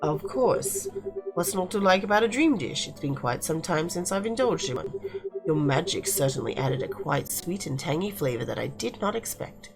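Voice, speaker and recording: A woman's voice: on the low side, lively intonation, normal speed, gravelly, pitched below the performer's own voice, rough recording. A woman voicing a reserved, dry, bashful cafe apprentice in a visual novel, with understated delivery. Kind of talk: visual novel character reading. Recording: music playing behind the voice.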